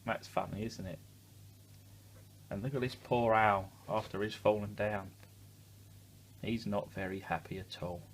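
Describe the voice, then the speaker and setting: A man's voice: fairly low pitch, steady tone, measured pace, long pauses, noisy background. A man reading a picture book aloud to children expressively, adding simple chatty asides and questions for the young listeners. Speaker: children's story reader.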